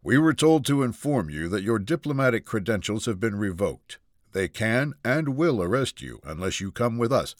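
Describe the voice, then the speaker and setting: A man's voice: on the low side, very animated, a little slow, hardly any pauses, a bit bright, no echo, studio quality. A man playing a composed Marine captain in an audiobook, speaking formally and firmly. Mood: calm, firm.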